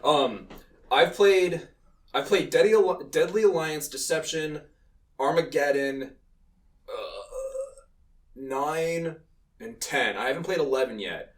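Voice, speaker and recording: A man hosting a casual chat about games and films, rambling excitably and swearing freely. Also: fairly high pitch, takes it slowly, long pauses. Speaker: podcast host. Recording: roomy echo, distant from mic.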